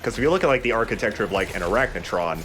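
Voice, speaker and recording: Low-pitched man doing game commentary. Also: expressive, racing, noisy background. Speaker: let's player. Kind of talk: casual gaming commentary.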